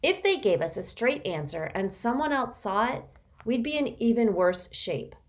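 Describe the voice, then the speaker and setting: A woman's voice: a little high, big pitch swings, moderate pace, pitched above the performer's own voice. A woman reading an audiobook, voicing a nervous, earnest young boy who frets and questions. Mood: anxious. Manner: anxious, fretful questioning. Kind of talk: audiobook character voice.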